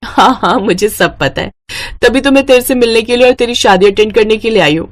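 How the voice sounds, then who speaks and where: A woman's voice: fairly high pitch, a little fast, close to an everyday voice. A woman voicing a young, dutiful and firm daughter-in-law in a cartoon story, earnest and emphatic. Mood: friendly. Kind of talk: Hindi moral-story cartoon acting.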